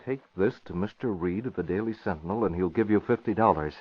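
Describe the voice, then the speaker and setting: An actor playing a decisive, earnest young newspaper publisher in an old radio drama, brisk and authoritative. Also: male voice, deep voice, slightly rough. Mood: urgent. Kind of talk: 1950s radio drama acting. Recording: dull vintage radio recording.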